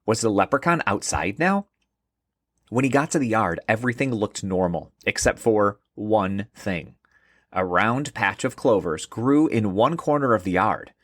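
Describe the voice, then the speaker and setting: A man hosting and narrating a scripted children's story in an expressive storytelling manner. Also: lowish voice, a little slow, no room sound, clean studio sound. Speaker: host and narrator.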